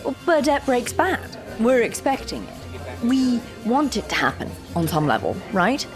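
An actress playing an idealistic, excitable screenwriter in an audio drama, earnest and wordy, a little anxious. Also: female voice, a little high, measured pace, music in the background. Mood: earnest pitching. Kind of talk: audio fiction acting.

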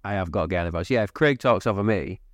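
A man's voice: low voice, fast, no room sound. Chatty man, dry close sound. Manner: chatty, laughs often, trails off mid-sentence. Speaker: podcast co-host. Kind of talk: casual chat. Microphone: podcast mic.

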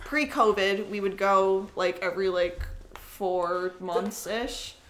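A woman's voice: medium pitch, fairly even intonation, slow pace, fairly bright tone. A chatty woman in her twenties telling stories casually, with frequent giggles. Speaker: special guest on a podcast Q&A. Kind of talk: casual chat and storytelling.

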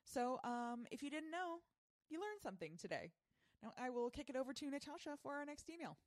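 A woman's voice: sits high, very animated, a little fast, dry recording. A woman hosting a podcast, chatting and explaining things in a conversational commentary style. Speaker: podcast host.

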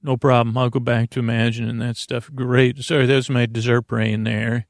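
A man with a creaky voice hosting a sleep podcast, delivering a halting, meandering improvised comic monologue that keeps interrupting itself and drifting off on tangents. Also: mid-range voice, level tone, no room sound, clean studio sound.

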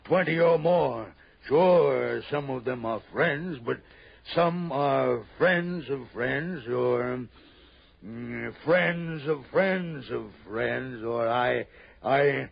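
A nervous, domineering rich man in an old radio drama. He is gravelly and unhurried, stammering and repeating himself as he blusters. The recording is dull, like vintage broadcast audio. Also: medium pitch, full character voice. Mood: flustered, defensive. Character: nervous, bossy, wealthy male host fearing for his life. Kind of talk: 1960s radio drama acting.

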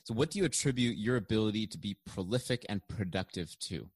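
A man's voice: lowish voice, expressive, fast, slightly rough, dry recording, clean studio sound. A man hosting a podcast in a casual, jokey, rambling way, with lots of restarts and laughs. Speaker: podcast host.

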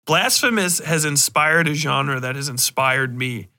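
Bright, forceful-voiced man chatting casually. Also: fairly high pitch, unhurried. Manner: profane, emphatic. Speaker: podcast host. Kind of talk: casual rambling chat.

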